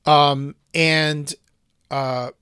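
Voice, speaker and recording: A man with a slightly higher voice hosts a casual podcast and talks in long, winding thoughts that loop back on themselves. The sound is dry and close, with no room around it. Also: level tone, very slow, sharp tone, clear, resonant tone, no echo. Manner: thoughtful, rambling with restarts and asides. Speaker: podcast host.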